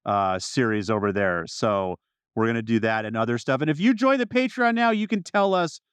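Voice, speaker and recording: A man hosting a casual film podcast, chatting in an animated, excitable way. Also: mid-range voice, normal speed, clear, resonant tone, no echo. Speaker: podcast host.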